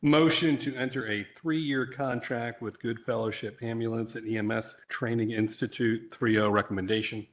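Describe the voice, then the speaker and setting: A man reading formal motions aloud at a council meeting in a flat, formal way. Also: mid-range voice, normal speed, few pauses.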